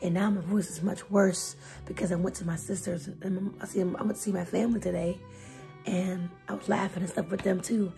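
A young woman chatting to her phone camera at her desk in a casual vlog. She is hoarse, her voice nearly gone, and talks in a chatty, expressive way. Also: lowish voice, a little fast. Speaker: vlogger. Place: bedroom at a desk, indoors. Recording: music playing under the voice. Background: lofi music bed.

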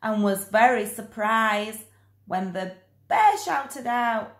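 Very slow, animated woman reading a storybook. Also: on the high side, echoey room. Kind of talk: expressive children's picture-book read-aloud.

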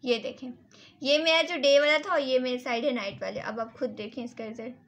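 A woman's voice: high voice, steady tone, a little fast, highs cut off. A woman casually reviewing and demonstrating products as a beauty vlogger, instructive and repetitive, mixing in English words. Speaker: beauty vlogger and salon owner.

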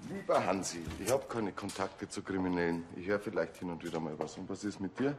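An actor playing a breezy, cagey workshop owner who deals on the side. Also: male voice, deep voice, expressive, fairly quick, background noise. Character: breezy, cagey male workshop owner and small-time dealer.

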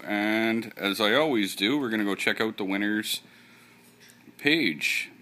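A man's voice: lowish voice, pushed and bright. A man hosting his channel giveaway, talking in a rambling, offhand way and swearing casually while he films his computer screen. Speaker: channel host running a giveaway. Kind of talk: casual screen-recorded vlog.

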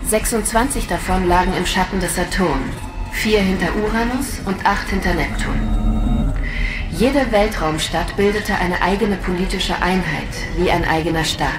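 A woman's voice: on the low side, moderate pace. A woman reading scripted narration in a radio drama. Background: music.

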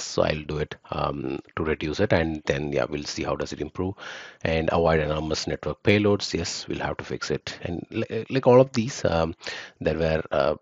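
Low-voiced male tutor, hesitant explainer, dull dry home-mic voice-over. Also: husky, dry recording. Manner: explanatory, frequent fillers and restarts. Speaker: software testing instructor. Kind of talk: screen-recorded tutorial walkthrough.

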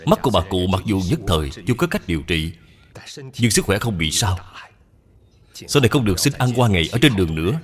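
A man voicing a persuasive young gentleman in a cartoon dub, polite, formal and reassuring. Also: deep voice, sing-song, a little fast, pauses often. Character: courteous, persuasive young gentleman suitor of means.

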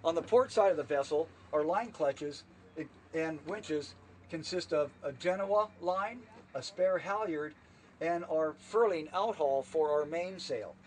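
A man's voice: on the high side, a little slow, a little mellow, distant mic, a little hiss or hum. A man giving a walkthrough tour of a small sailboat cabin, steadily listing its equipment and how it works. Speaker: charter boat staff giving a tour.

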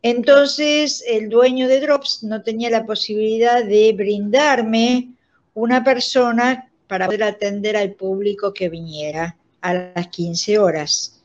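A woman's voice: fairly high pitch, fairly even intonation, slow. Older Argentine woman, muffled call audio. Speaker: radio drama director, guest. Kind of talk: explanatory conversation. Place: indoor room, on a video call. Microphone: video call device microphone.

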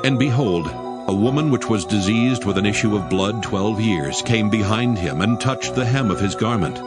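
A man's voice: fairly low pitch, lively intonation, normal speed, loud music behind the voice. A man narrating dramatized scripture in a solemn storytelling manner.

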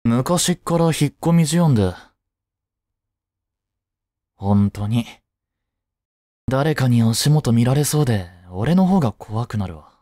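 A man's voice: medium pitch, lively intonation, slow, long pauses, warm tone, no room sound, studio quality. A young man playing a laid-back, protective teenage childhood friend in a casual voice drama, speaking bluntly and teasingly as if to the listener. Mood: quietly protective. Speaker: voice actor. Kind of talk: first-person voice drama, casual chat to the listener.

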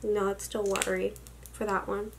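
A young woman in her twenties chatting about makeup, gushing and chatty, full of likes and excited exclamations, filmed in a small room at her vanity. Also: mid-range voice, moderate pace, noisy background. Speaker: beauty vlogger. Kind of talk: casual makeup haul chat. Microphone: camera at desk distance.

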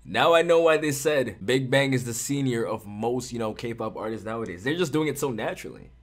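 A young man giving casual, animated reaction commentary to a music video in a small home studio on a large condenser mic. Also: mid-range voice, a little fast. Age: young adult. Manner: animated, hyped, chatty, slangy. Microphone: large studio condenser mic, headphones on. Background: faint music from the video.

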